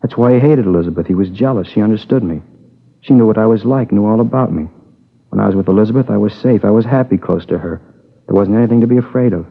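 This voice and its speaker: A man's voice: lowish voice, quick pace, gravelly. An American actor playing a troubled, needy young man confessing to a killing in a 1950s radio drama, brooding and pleading in short repeated phrases. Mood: bitter, yearning. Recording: tinny old radio recording with long reverb.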